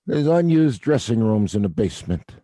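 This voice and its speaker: An actor playing a middle-aged male theater night watchman who is gruff but kind, with a wry, world-weary, fatherly way of talking. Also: fairly low pitch, big pitch swings, moderate pace, dark tone, no echo. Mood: warm. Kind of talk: audio play acting.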